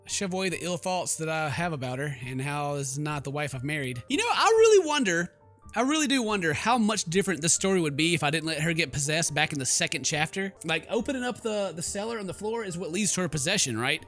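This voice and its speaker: A man hosting a game playthrough, mixing jokey commentary with theatrical read-aloud narration in an animated manner. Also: fairly high pitch, fast talker, soft background music. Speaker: gaming video host.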